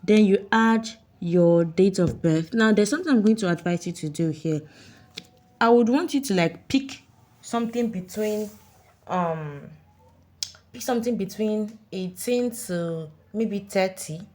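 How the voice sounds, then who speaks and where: A woman's voice: mid-range voice, unhurried, darkish tone. A Nigerian woman talking viewers through an app step by step, repeating her warnings with insistent emphasis. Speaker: online earning tutorial host. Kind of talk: step-by-step app tutorial voice-over.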